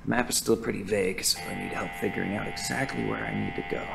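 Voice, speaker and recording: A man's voice: on the low side, fairly even intonation, fast, gravelly, over music, low-quality recording. An actor playing a flustered, nerdy young male editor in an audio drama. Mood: musing, eager. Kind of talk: audio drama, spoken recorded monologue.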